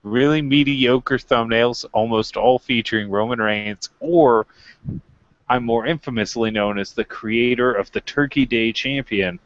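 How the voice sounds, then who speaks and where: A man's voice: medium pitch, measured pace, dry recording. A man co-hosting a casual wrestling podcast, bantering in a blunt, sweary, self-deprecating way. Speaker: podcast co-host. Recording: dull lo-fi microphone sound.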